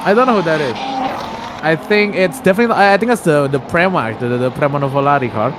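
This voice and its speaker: A man's voice: a little high, fast, noisy background. A man from Indonesia doing live sim racing commentary from his home, rambling and correcting himself with many fillers. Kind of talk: live remote race commentary.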